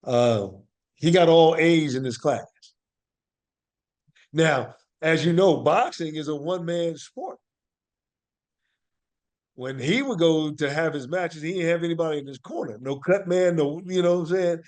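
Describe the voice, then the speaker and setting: An American man with a fairly high voice talks as an interview guest. He tells his stories conversationally and with animation, leaning on key points and checking that he is being followed. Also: measured pace, long pauses, a little mellow. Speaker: guest, actor and playwright.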